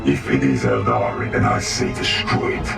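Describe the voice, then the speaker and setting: Grim zealous space marine, gravelly n dramatic, huge echo, solemn old-timey military talk, video game. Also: male voice, a bass voice, steady tone, moderate pace, music in the background. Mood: wary, grave. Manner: solemn, archaic military phrasing. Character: battle-hardened male space marine warriors, grim and zealous. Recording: echoey, distant, over game soundtrack.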